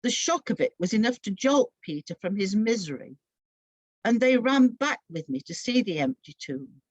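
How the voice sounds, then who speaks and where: A woman giving an expressive, solemn first-person dramatic narration of a Bible story from a script. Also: medium pitch, moderate pace, no room sound, highs cut off.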